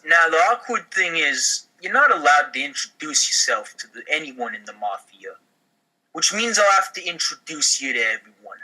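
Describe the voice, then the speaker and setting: A man's voice: fairly high pitch, big pitch swings, moderate pace, sharp tone, exaggerated voice, rough recording. A man acting a slick, pushy, sleazy mafia recruiter in an amateur comedy table read. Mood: explanatory, conniving.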